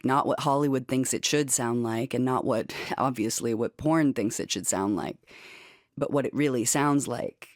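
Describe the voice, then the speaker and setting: A woman's voice: very deep voice, a little mellow, no echo, clean studio sound. A woman from Canada, an audio artist, talking earnestly and reflectively in an interview, repeating phrases for emphasis. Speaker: audio artist and radio playwright.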